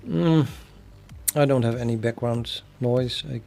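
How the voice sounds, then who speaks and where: A man with a Dutch accent chatting casually on a live programming stream, thinking aloud and halting with many fillers. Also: medium pitch, creaky, mellow, faint music behind. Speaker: programming livestreamer.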